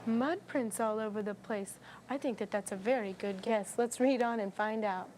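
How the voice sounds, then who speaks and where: A woman's voice: medium pitch, moderate pace, a little mellow, slight background noise. A woman in her twenties, a teacher who speaks expressively and encouragingly about and during reading with children. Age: 20s. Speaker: afterschool teacher. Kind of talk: explaining teaching methods and leading a children's read-aloud.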